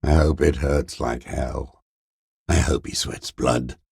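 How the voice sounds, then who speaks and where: An actor playing a bitter, weary old communist deserter in a video game, gravelly and raspy, cursing with contempt in a slow mutter. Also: male voice, a bass voice, fairly even intonation, a little mellow, dry recording, clean studio sound, heavily acted. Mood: brooding, bitter. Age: older. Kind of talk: dramatic video game character acting.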